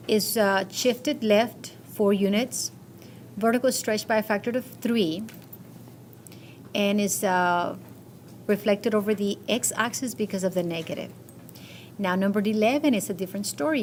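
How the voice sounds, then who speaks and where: A woman teaching a math class, going over quiz answers step by step and checking with short questions. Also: mid-range voice. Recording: noisy classroom recording.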